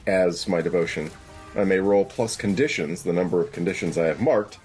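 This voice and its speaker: A man's voice: deep voice, fairly quick, music in the background. A man chatting casually as a player in a tabletop roleplaying game.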